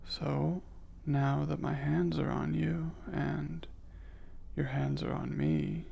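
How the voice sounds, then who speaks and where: A man playing a curious, reserved male alien in an ASMR roleplay, speaking softly in formal, precise and hesitant wording. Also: fairly high pitch, steady tone, takes it slowly, vocal fry, breathy edge, heavily acted. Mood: puzzled, intimate. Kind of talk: ASMR roleplay, soft intimate delivery.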